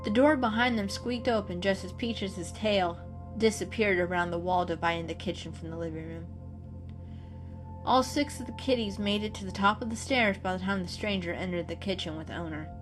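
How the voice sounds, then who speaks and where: A woman's voice: mid-range voice, moderate pace. A woman narrates a children's story as a dramatic reading. She works as a storyteller guiding the listener through scenes. Music plays behind her voice.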